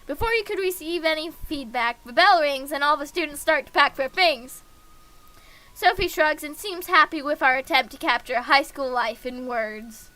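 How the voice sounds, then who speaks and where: Very high-pitched, bright-voiced woman streaming. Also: normal speed, poor audio. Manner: excitable, gushing, giggly. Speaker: livestreamer reading a visual novel aloud. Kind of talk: casual game stream, reading aloud and reacting.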